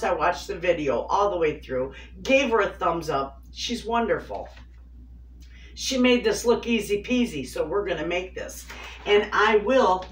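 A woman in her fifties chatting to viewers in a homey way while cooking in her home kitchen. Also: lowish voice. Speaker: homestead cooking vlogger. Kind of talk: casual cooking chat to camera. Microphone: far camera on a tripod. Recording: echoey kitchen from a distance, faint noise.

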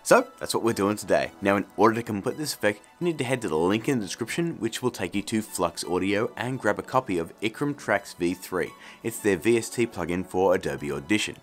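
A man in his thirties hosts a tutorial with a lot of swing in his pitch. He is chatty and jokey, keeping it informal, with music playing underneath. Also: animated, fairly quick, hardly any pauses. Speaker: host of an effects tutorial channel. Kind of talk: casual tutorial presenting.

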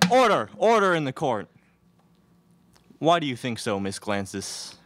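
A man's voice: mid-range voice, very animated, unhurried, a bit bright, no echo. A young man playing an officious Wild West judge in a student radio play, declaiming into a stage microphone. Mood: commanding. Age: young adult. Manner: declamatory, calling for order. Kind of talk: student radio theatre acting. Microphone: stage microphone on stand.

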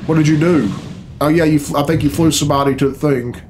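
A man's voice: on the high side, steady tone, fast talker, noisy background. A man, giving blunt, grumbling, sweary commentary as host of a reaction video. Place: small furnished room at home, indoors. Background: loud game cutscene music.

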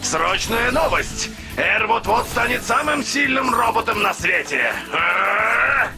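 A man voicing an excitable robot with a big ego in a cartoon, loud and theatrical. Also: sits high, slow pace, music in the background, full character voice. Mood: boastful. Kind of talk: animated cartoon dub.

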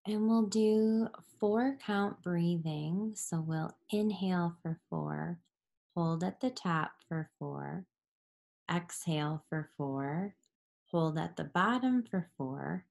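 Very slow, creaky woman guiding relaxation. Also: on the low side, darkish tone. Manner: soothing, unhurried, with long pauses. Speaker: yoga nidra instructor. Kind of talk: guided relaxation meditation.

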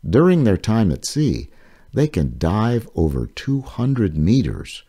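Male author read-aloud for kids' nonfiction: low, mellow, husky, explaining carefully. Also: wildly swooping pitch. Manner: measured, explanatory reading. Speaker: author reading own children's book.

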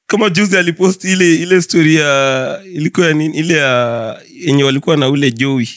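A man's voice: a little high, quick pace, a bit bright, dry recording. Chatty young Kenyan man, dry mic. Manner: chatty, laughing asides. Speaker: podcast co-host. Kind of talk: casual chat in Sheng and English. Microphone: boom mic on stand.

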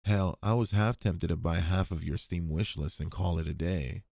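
A man playing an affectionate, protective, gently teasing boyfriend in an intimate roleplay ASMR audio. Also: low-pitched, steady tone, moderate pace, vocal fry, dry recording, studio quality. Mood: playful teasing.

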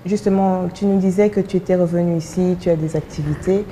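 A young woman presents a studio interview show. Her voice is fairly low and very soft and dark in tone, with a slight rumbly noise behind it. She introduces the guest and puts questions. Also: steady tone, brisk, poor audio. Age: young adult. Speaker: TV talk show host.

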